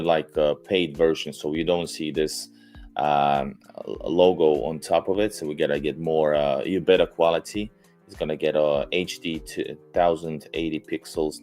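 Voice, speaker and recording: A young man hosts a casual live stream in an extremely low voice. He talks haltingly, filling pauses with "uh" and "you know" and restarting sentences. Faint music plays behind him. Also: darkish tone. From Finland. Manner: hesitant, many fillers and restarts.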